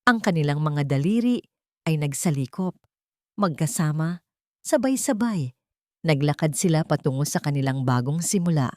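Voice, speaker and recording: Woman narrator for dramatic audio stories: low register, sentimental measured phrasing, very dry sound. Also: animated, darkish tone, dry recording. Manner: deliberate, sentimental delivery in short phrases. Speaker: storyteller and channel host.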